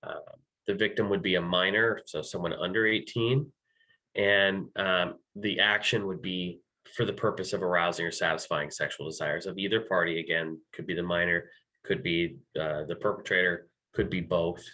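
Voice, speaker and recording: Man, American accent, very low pitch, slightly hoarse, halting, filler-heavy, legal presenter. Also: steady tone, pushed and bright, low-quality recording. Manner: halting, many ums, self-correcting. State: losing his voice. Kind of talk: slide-based legal training.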